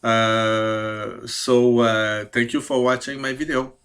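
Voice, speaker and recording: A man in his fifties from Quebec, a native French speaker with a Quebec French accent, presenting his skills with careful, hesitant speech and many pauses. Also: medium pitch, little pitch movement, a bit bright, clear, resonant tone. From Quebec, Canada. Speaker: CAD programmer. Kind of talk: self-presentation video.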